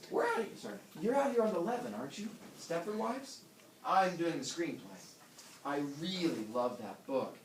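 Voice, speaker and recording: Guy doing a coy gushing starlet girl, high squeaky tone, roomy echo, faint hiss. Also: big pitch swings, normal speed. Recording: echoey room, distant mic, faint noise.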